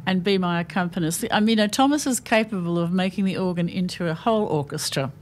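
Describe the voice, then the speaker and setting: A woman with an Australian accent, a singer chatting as a guest in a radio interview, lively and quick to laugh. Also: on the low side, slight background noise.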